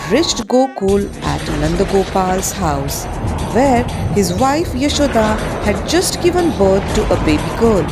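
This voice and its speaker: Indian woman narrating with animated, swooping pitch. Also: rough recording. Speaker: narrator of a school play. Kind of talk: dramatic scripted storytelling. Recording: music behind the voice. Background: music.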